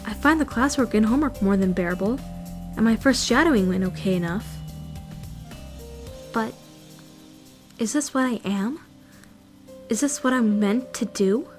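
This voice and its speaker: A woman's voice: a little high, lively intonation, normal speed, pauses often, natural voice. A woman playing an anxious, brooding fourteen-year-old schoolgirl who narrates her self-doubting thoughts in a visual novel fandub. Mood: agitated, questioning. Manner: introspective, questioning, self-critical. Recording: music behind the voice.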